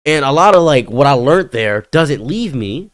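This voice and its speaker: A man's voice: mid-range voice, moderate pace, dry recording. A man in his thirties, born in Egypt, chatting casually on a video call and acting out quoted remarks as he tells stories. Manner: animated storytelling, acts out quotes. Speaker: streamer host. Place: bright green-walled room indoors. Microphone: webcam on a video call.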